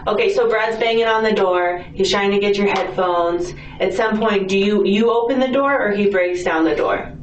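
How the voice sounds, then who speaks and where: A woman questioning someone as a police interviewer, in a patient, casual, reassuring conversational way, gently prompting. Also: mid-range voice, moderate pace, hardly any pauses. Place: indoor police interview room. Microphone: distant room recording microphone. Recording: distant, echoey, muffled noisy interrogation recording.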